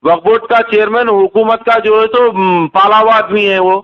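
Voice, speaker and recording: Muffled, higher-pitched Hyderabadi man on phone. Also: fairly even intonation, normal speed. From Hyderabad, India. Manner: insistent, accusatory. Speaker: caller. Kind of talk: argumentative phone conversation. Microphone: telephone. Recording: muffled lo-fi recorded phone call.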